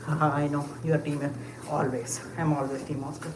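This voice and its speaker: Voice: medium pitch, flat delivery, normal speed. A livestreamer chatting casually with viewers while walking, musing aloud with lots of fillers and short laughs. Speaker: livestreamer answering chat. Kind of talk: casual live chat. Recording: echoey space with clear ambient noise.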